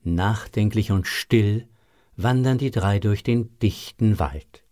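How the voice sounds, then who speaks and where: A man narrating a scripted children's audio story with a husky voice. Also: on the low side, darkish tone.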